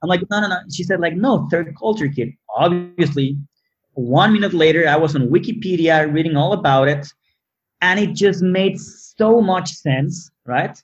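Man, non-native English speaker, slightly high husky voice, animated casual talk, muffled lo-fi sound. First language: not English. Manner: animated, quick bursts with frequent fillers and restarts. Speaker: guest. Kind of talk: casual interview conversation. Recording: muffled lo-fi audio.

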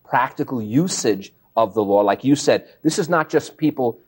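A man's voice: mid-range voice, animated, moderate pace, breathy edge. A man hosting a conversational book interview, explaining things step by step with emphasis. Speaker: interviewer, show host.

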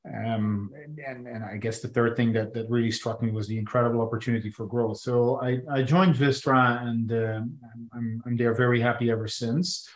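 A man's voice: medium pitch, level tone, moderate pace, few pauses, echoey room. A man in his forties, a business executive speaking as an interview guest, talking in a hesitant way with many restarts. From Netherlands. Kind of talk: conversational interview.

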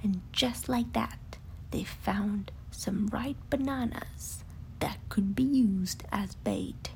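A woman narrating a children's bedtime story in an expressive, breathy way. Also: mid-range voice, takes it slowly, whispered.

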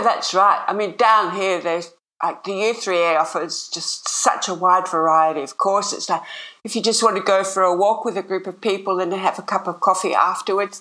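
A woman's voice: lowish voice, fairly bright tone, low-quality recording. An older retired woman with an Australian accent, chatting in an upbeat, rambling way as an interview guest. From Sydney, Australia. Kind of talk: casual interview chat.

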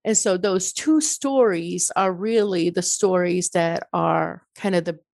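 Middle-aged woman, farsi native, low voice a bit gravelly, dry sound, earnest reflective author talk. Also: measured pace, a little mellow, no room sound. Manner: earnest, reflective, with frequent restarts. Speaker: author and guest. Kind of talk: interview conversation.

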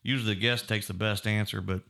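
A man's voice: fairly low pitch, fairly even intonation, fast, creaky, bright, forceful tone. A man hosting a podcast, chatty and rambling, who laughs easily. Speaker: podcast host. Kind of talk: casual chat. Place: podcast room.

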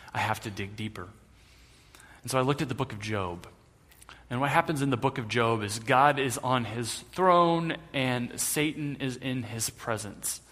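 A man's voice: mid-range voice, a bit bright. A man preaching a sermon as pastor in a conversational way with light humor, in a church sanctuary, with a long hall reverb on the recording.

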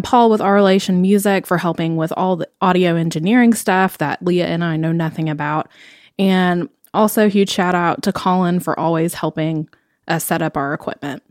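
A woman in her late twenties, from Tennessee, co-hosts a podcast in a chatty, upbeat voice. Her voice sits a little low for a woman. It is recorded close and dry, with no room sound. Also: a little mellow, no room sound. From Knoxville, Tennessee. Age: 20s. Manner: chatty, upbeat, with frequent ums. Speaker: podcast co-host. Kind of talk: casual podcast chat.

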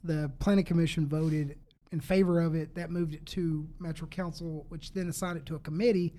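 A man's voice: fairly high pitch, fairly even intonation, brisk, mellow. A man reporting on local news during a panel chat, wry and matter-of-fact.

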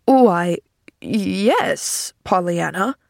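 A woman reading the part of a lonely, earnest older man in an audiobook, halting and easily flustered. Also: on the low side, animated, creaky, a little mellow, no echo, clean studio sound, full character voice. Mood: dazed, alarmed. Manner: halting, pleading. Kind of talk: audiobook character acting.

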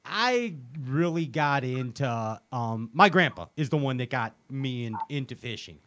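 A man hosting a casual podcast interview, excitable and stumbling over repeated words. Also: medium pitch, a little slow, highs cut off, a little hiss or hum. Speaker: podcast host.

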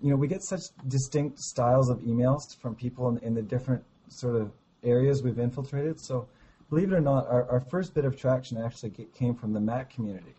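A man with a slightly hoarse voice from a cold, talking about his startup in a halting, enthusiastic way during an interview call. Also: medium pitch, dark tone, poor audio. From Canada. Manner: halting, many restarts, enthusiastic. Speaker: startup founder guest. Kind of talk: casual interview over a call.

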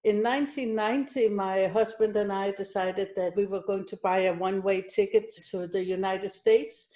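A woman's voice: mid-range voice, fairly even intonation, moderate pace, dark tone. A middle-aged woman from Denmark, answering interview questions conversationally as a company executive. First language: Danish.

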